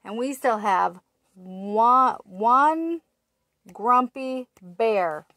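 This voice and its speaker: A woman in her thirties reads a picture book to toddlers, drawing each word out very slowly and pausing to ask the children questions. Her voice sits a little high, and it is picked up close and dry by a webcam in a small room. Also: expressive, bright, forceful tone, dry recording. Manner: slow, sing-song, asks little listeners questions. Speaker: teacher reading aloud. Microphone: webcam at arm's length.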